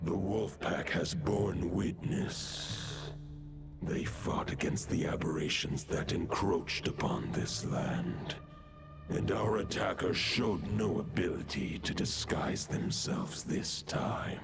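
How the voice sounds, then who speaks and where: A grave, ancient wolf spirit speaks in a deep, gravelly voice at a very slow, deliberate pace. His phrasing is formal and archaic. Loud game music sits underneath. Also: exaggerated voice. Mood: solemn. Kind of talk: video game dialogue.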